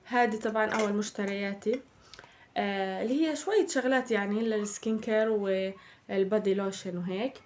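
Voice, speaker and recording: A young woman with a Palestinian Arabic accent, chatting casually through a shopping haul, her voice slightly hoarse from a cold. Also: medium pitch, brisk, darkish tone, a little hiss or hum. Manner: chatty, addresses viewers as girls. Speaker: vlogger.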